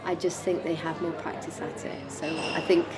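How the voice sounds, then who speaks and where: A woman artist explaining her work informally in a measured, hesitant manner. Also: sits low, little pitch movement, crowd noise. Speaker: artist presenting own work. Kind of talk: informal exhibition talk about own artwork. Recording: clear background noise and room ambience.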